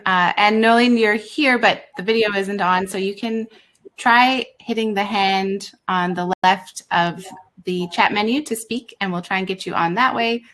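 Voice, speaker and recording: Woman hosting a zoom panel, friendly, keeps things moving, normal pitch. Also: a bit bright. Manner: friendly, organizing, chatty. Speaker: panel moderator. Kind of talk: hosting a video-call panel.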